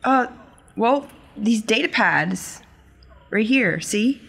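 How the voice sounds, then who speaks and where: A woman's voice: a little high, animated, slow pace, gravelly, husky, heavily acted. A woman voicing a sheepish, nervous youngster who explains things in a hesitant, rambling, evasive way. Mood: hesitant, concerned.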